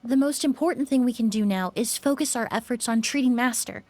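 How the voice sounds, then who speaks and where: A woman's voice: on the high side, a little fast, darkish tone, no room sound, close to an everyday voice. An actress playing a courteous, composed young woman in a game, speaking precisely. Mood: composed, clinical. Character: poised, courteous young woman, calm and observant.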